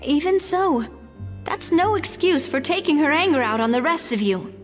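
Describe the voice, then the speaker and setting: A woman voicing a protective, outspoken young female friend in a game, quick to indignation. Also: high-pitched, expressive. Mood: indignant. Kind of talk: animated game dialogue. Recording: long reverb, music behind.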